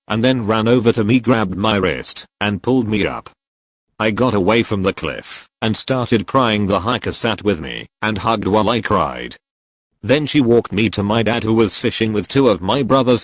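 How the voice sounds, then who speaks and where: A man reads forum stories aloud in a lowish voice. His pitch rises and falls in a lively, animated way as he goes straight through each post in one steady narrating voice. The recording is close and dry, with no room sound. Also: no echo. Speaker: narrator reading online posts.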